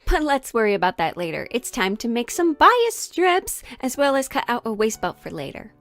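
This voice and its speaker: A woman's voice: a little high, big pitch swings, moderate pace, fairly bright tone, soft background music. A woman chatting casually in a sewing vlog, thinking aloud in a candid, rambling way. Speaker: sewing vlogger.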